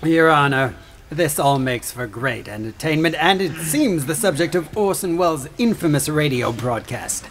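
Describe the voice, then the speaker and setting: Pompous, scornful government attorney. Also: male voice, on the high side, animated, moderate pace, fairly bright tone, a little hiss or hum. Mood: dismissive. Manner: formal, combative, dismissive. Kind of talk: audio courtroom drama acting.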